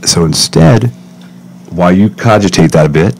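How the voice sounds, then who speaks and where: A man hosting a podcast in a studio, with a booming voice that gets loud when excited, talking in halting bursts full of fillers. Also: creaky, slight background noise. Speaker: podcast host. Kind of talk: casual interview chat. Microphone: close to a studio mic.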